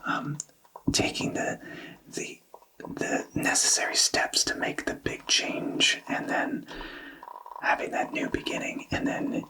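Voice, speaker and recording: A man softly whispering a tarot card reading in a thoughtful, explanatory way, with hesitant phrasing. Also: takes it slowly, recorded from a distance, low-quality recording. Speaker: tarot reader.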